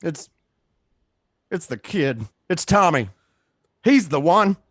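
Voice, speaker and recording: A man's voice: a little high, big pitch swings, slow, slightly rough, no echo, clean studio sound, pitched above the performer's own voice, heavily acted. A man acting a frightened, desperate man who stammers and pleads his innocence in a radio play.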